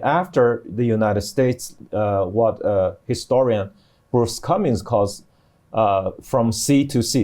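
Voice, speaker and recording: A middle-aged man explaining things on a panel as a professor, in a halting way with many restarts. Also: medium pitch, warm tone. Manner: halting, hesitant delivery with repeated restarts. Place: TV studio.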